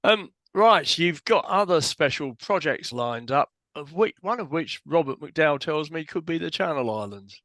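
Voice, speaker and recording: An older man hosts an interview over a video call through a headset. His voice is bright and fairly high. He keeps his questions short and lets his guest do most of the talking. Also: lively intonation, normal speed, few pauses. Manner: brief prompts and questions. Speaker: host.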